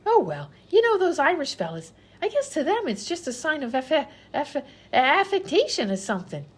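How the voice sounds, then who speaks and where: A woman's voice: high voice, big pitch swings, moderate pace. A woman playing a dim-witted, chattering vaudeville patient who rambles in folksy, ungrammatical speech in a radio comedy. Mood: chatty, oblivious. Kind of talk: old-time radio comedy acting.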